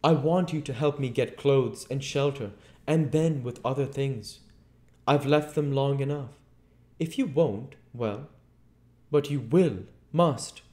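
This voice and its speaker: A man's voice: mid-range voice, slow, a little mellow, pitched above the performer's own voice, natural voice. A man voicing a desperate, domineering invisible man in a storybook, commanding, insistent and well-spoken. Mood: demanding.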